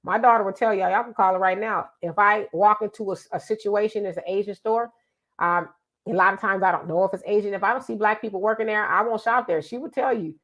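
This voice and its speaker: A woman's voice: mid-range voice, fairly even intonation, quick pace, fairly bright tone. A middle-aged woman, sounding congested, hosting a casual live show and reading out viewer comments in an emphatic, chatty way that repeats itself. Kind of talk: casual live-stream talk.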